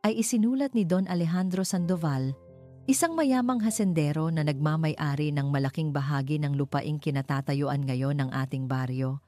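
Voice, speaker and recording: A woman's voice: low voice, moderate pace, warm tone, lightly acted. A woman playing a grave, shaken elderly male retired teacher in a dramatic story. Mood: grave recounting. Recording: music behind the voice.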